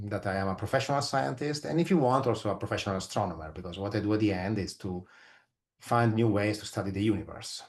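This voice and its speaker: A middle-aged man from Italy with an Italian accent, explaining things informally as an interview guest. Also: on the low side. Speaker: interview guest, physicist and astronomer.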